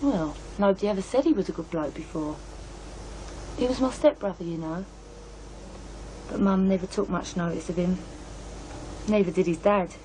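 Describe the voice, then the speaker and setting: A woman's voice: lowish voice, moderate pace, pauses often, darkish tone, a little hiss or hum, lightly acted, rough recording. Actress as blunt young British woman, guarded. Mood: bitter, matter-of-fact. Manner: blunt, colloquial. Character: blunt, guarded working-class young woman, matter-of-fact about family. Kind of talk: radio drama dialogue.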